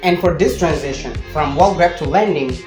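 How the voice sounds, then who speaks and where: A young man presents a scripted programming lesson with a playful, step-by-step delivery. He speaks into a handheld mic in an echoey indoor room. Music plays clearly underneath his voice. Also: a little high, moderate pace. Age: young adult. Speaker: tutorial host. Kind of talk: scripted coding tutorial narration. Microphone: handheld microphone with furry windscreen. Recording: roomy echo, music underneath. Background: background music.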